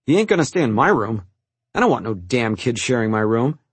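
A man's voice: medium pitch, sing-song, brisk, husky, no room sound. A man voicing a rude, sulky fifteen-year-old boy who snarls his lines. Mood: surly. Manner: snarling, surly.

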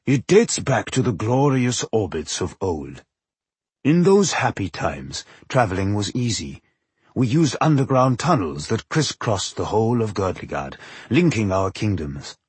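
A man voicing an aged, frail dwarf king in a fantasy audiobook, wise and solemn, with a weathered voice and a slow, deliberate delivery. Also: lowish voice, sing-song, a little mellow, husky, no room sound, heavily acted. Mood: reminiscing, secretive. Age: older.

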